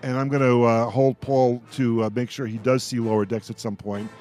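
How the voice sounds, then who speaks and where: A man hosting a radio roundtable, talking in a casual, rambling fan-discussion style and often halting to repeat his words. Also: mid-range voice, little pitch movement, a little mellow, faint music behind.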